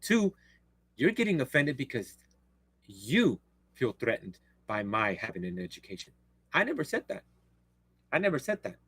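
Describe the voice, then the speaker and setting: A man's voice: mid-range voice, sing-song, normal speed, long pauses, fairly bright tone, husky, dry recording. Rambling man, dry close sound. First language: Spanish. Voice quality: rambling, self-interrupting, blunt. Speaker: livestream host. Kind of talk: casual live-stream chat.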